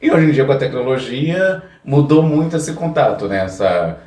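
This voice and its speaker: A Brazilian man hosting a casual interview, speaking in a halting, reflective way with frequent tag questions. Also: medium pitch, lively intonation, mellow. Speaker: interviewer, host. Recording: echoey room, distant from mic.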